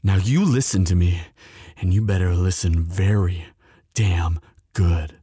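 A man's voice: low-pitched, unhurried, warm tone, slightly rough, dry recording, studio quality, heavily acted. A man playing a sweet, protective young demon university student in an intimate audio roleplay addressed to the listener. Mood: menacing anger. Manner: hesitant stammering when shy, firm when angry.

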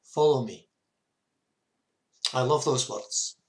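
A man in his fifties, a minister giving a measured, earnest reflective sermon to camera in a small study. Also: mid-range voice, gravelly. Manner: measured, earnest, with short repeated phrases. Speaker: parish minister. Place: small home study, indoors.

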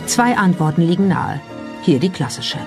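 A narrator reading a scripted documentary in a measured storytelling style. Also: mid-range voice, animated, music in the background.

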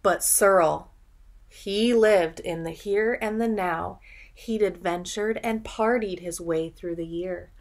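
A young adult woman reading a children's picture book aloud in an expressive, teacherly way, pausing to ask simple questions. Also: on the low side. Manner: animated storytelling, deliberate enunciation, audible gasps. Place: small furnished room at home, indoors.

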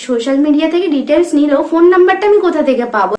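A woman's voice: sits high, lively intonation, racing, darkish tone, roomy sound, dull audio. A young woman with a West Bengal Bengali accent, talking as a prank caller in a polite, persistent, persuasive manner. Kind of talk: staged phone prank conversation.

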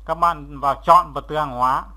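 A man narrating a step-by-step software tutorial as an instructor, in a halting, instructional way, repeating steps as he goes. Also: on the high side, fairly even intonation.

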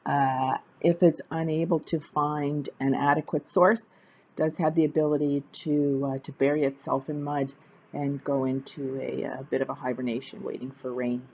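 A woman narrating a short capoeira lesson video in a casual, halting way, with frequent uh fillers between phrases. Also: sits low, flat delivery, darkish tone, muffled recording, a little hiss or hum. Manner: hesitant, many uh fillers, plain. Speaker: capoeira teacher.

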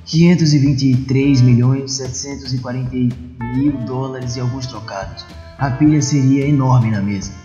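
A man's voice: medium pitch, normal speed, mellow, close to an everyday voice. A nervous, deferential male accountant, acted by a man in a motion comic, formally reciting figures. The recording is muffled and echoey, with music behind. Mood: flustered. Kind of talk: dubbed comic-book dialogue.

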